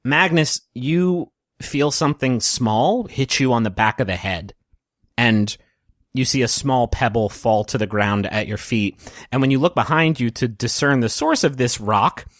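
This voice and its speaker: A man narrating a tabletop roleplaying story as game master, in a gentle, halting, descriptive way. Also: medium pitch, dry recording, studio quality.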